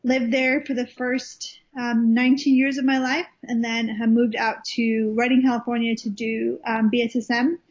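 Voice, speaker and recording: A woman's voice: fairly high pitch, little pitch movement, moderate pace, hardly any pauses. Welsh-born young woman who sounds american, chatty, lots of ums, kinda dull lo-fi audio. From Wales. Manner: chatty, many fillers and restarts. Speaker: guest minister. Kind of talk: casual interview storytelling. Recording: muffled lo-fi recording.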